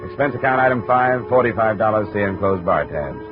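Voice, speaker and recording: A man's voice: on the low side, animated, a little fast, natural voice. An American man playing a dry, businesslike private investigator in a 1950s radio drama, clipped and matter-of-fact. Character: dry, businesslike male private investigator narrating his case. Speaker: lead actor and narrator. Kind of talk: 1950s radio detective acting, scripted narration. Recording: muffled, tinny old radio recording, music behind.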